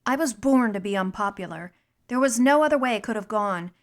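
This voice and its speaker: Brief: woman audiobook narrator, expressive and measured, mid-high voice. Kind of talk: audiobook narration demo reel.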